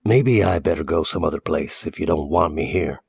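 A man's voice: a bass voice, normal speed, vocal fry, darkish tone, husky, no echo, heavily acted. A man voicing a guarded, uneasy stranger who talks carefully and tersely in a story.

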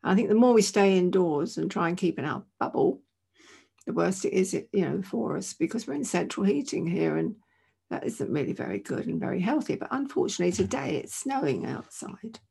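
A woman, her voice croaky from a chesty cough, giving an informal, earnest sermon from her home. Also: lowish voice, animated, a little fast, mellow. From England. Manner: earnest, conversational, many "you know" asides. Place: indoors at home.

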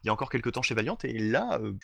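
A man hosting a casual chat, talking haltingly with many fillers and restarts. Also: mid-range voice, sing-song, vocal fry, a bit bright, dry recording, clean studio sound. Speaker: host.

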